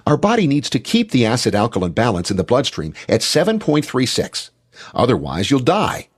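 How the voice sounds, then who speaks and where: A man's voice: mid-range voice, huge pitch swings, moderate pace, husky. A man delivering scripted documentary narration about science and health.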